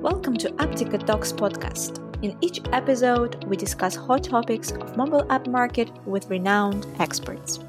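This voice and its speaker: A woman's voice: fairly high pitch, lively intonation, moderate pace, hardly any pauses. A woman reading a scripted show intro announcement. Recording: over clear background music.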